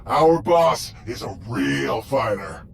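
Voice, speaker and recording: A man's voice: mid-range voice, big pitch swings, slow, gravelly, crowd noise, full character voice. A man playing a brash, dismissive henchman guard in a video game. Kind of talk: video game cutscene acting. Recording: game music behind the voice.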